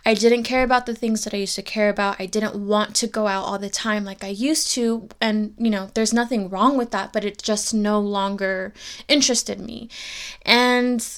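A woman's voice: medium pitch, fairly even intonation, normal speed. A woman in her twenties hosting a podcast, telling a personal story in a candid, reflective way and speaking to her listeners directly. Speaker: podcast host.

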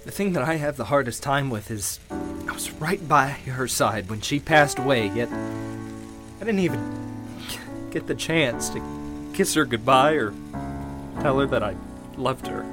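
A man playing a grieving widower and recovering alcoholic in a radio play, stammering and halting with emotion. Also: mid-range voice, expressive, music in the background, natural voice. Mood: regretful, grieving.

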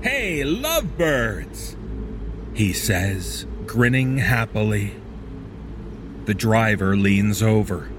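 A man reading a scripted second-person horror story in a measured, deliberate manner. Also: fairly low pitch, fairly even intonation, background noise.

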